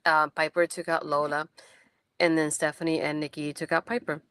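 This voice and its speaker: A woman's voice: sits low, little pitch movement, moderate pace, a bit bright, smooth, dry recording. A young woman, not a native English speaker, hosting a casual post-show recap in a chatty, enthusiastic way with many fillers. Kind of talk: casual wrestling event recap.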